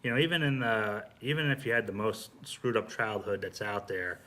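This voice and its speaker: A man's voice: fairly low pitch, normal speed, sharp tone. A man, a firefighter, making his points in casual round-table conversation.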